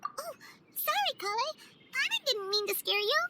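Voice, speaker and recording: A woman voicing a small, childlike flying fairy companion in a video game, excitable and dramatic, speaking of herself in the third person. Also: very high voice, moderate pace. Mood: concerned, puzzled. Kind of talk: video game cutscene voice acting. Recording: music behind the voice.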